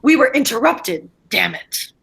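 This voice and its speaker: A woman reading the part of a brusque, impatient gentleman professor in a stage play, blunt, offhand and theatrical. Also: fairly high pitch, sing-song, measured pace, vocal fry, husky, exaggerated voice. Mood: irritated.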